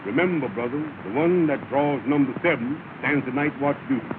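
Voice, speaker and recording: An actor in a 1930s film comedy playing a pompous, ceremonious lodge leader who speechifies with mangled formal words. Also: male voice, medium pitch, fairly quick, lightly acted. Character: pompous, ceremonious male lodge leader presiding over meeting. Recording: scratchy, tinny, noisy 1930 film soundtrack.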